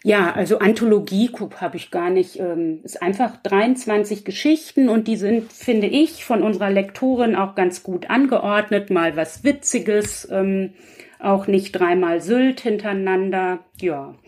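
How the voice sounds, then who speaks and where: A woman with a medium-pitched voice chats as a guest on a podcast. She explains in a loose, conversational flow, moving from one thought to the next. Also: unhurried, a little mellow. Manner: chatty, loosely structured, explanatory. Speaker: guest author. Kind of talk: casual podcast chat.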